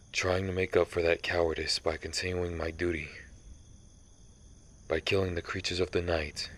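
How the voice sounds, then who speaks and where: A man playing a centuries-old vampire hunter in an ASMR audio roleplay, somber, guilt-ridden and resolute, confessing haltingly. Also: low-pitched, slight background noise.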